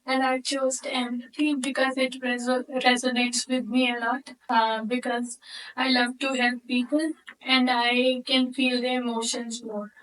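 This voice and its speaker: A woman's voice: sits high, little pitch movement, measured pace, fairly bright tone, recorded from a distance, rough recording. A woman introducing herself at a workshop in an earnest, hesitant way. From India. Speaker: workshop participant.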